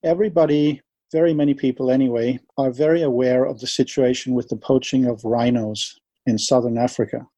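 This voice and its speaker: A man from Denmark with a Danish accent, speaking English as a second language in an interview, measured and laying out his argument step by step with numbers. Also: mid-range voice, steady tone, warm tone, no echo. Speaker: interviewee, wildlife advocate. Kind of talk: earnest explanatory interview talk.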